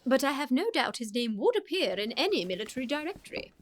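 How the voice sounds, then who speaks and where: An actress playing a haughty, imperious older aristocratic aunt in a comic radio play, with a pompous upper-class English accent. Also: female voice, high-pitched, very animated, quick pace, a little hiss or hum. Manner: pompous, emphatic, declamatory.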